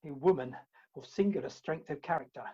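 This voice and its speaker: A woman playing an exhausted, fleeing gentleman in a comic farce, out of breath and speaking in clipped bursts. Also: sits low, brisk, husky, lo-fi sound. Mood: wry. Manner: clipped, breathless list-like storytelling. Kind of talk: comic stage farce acting.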